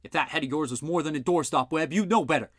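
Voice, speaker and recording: A man voicing a gruff, blustering older male inventor who talks loud and boastful. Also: on the high side, fast, no room sound, studio quality, voice pushed up, close to an everyday voice.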